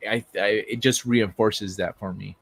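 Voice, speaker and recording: A man hosting a livestream in an informal, excitable, sweary way, talking casually and reading things aloud. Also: fairly low pitch, a little slow, gravelly, no room sound. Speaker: livestream host.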